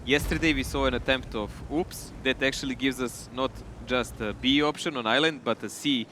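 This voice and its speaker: A man's voice: mid-range voice, fairly even intonation, normal speed, noisy background. A man co-casting a live esports match, talking in a chatty way with loose phrasing and restarts. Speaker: esports co-caster.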